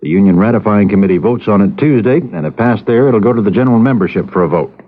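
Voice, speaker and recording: A man reading scripted news briskly as a radio anchor. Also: on the low side. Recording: phone-like narrow-band radio recording.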